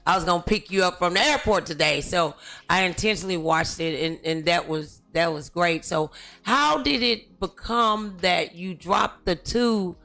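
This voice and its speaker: A woman hosting a talk show, chatting in a familiar, colloquial and halting way with exclamations. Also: on the low side, pushed and bright. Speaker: talk show host interviewing a guest. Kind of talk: casual, familiar interview chat.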